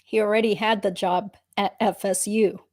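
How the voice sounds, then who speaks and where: Mellow, measured woman witness, acted by a reader. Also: medium pitch, steady tone, close to an everyday voice. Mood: guarded, factual. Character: guarded, matter-of-fact woman witness under questioning. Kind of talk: dramatic reading of a deposition.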